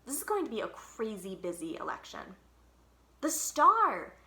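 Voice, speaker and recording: A woman's voice: medium pitch, very animated, brisk, echoey room. A woman reading a children's book aloud expressively, leaning on key words with dramatic emphasis. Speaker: library read-aloud storyteller.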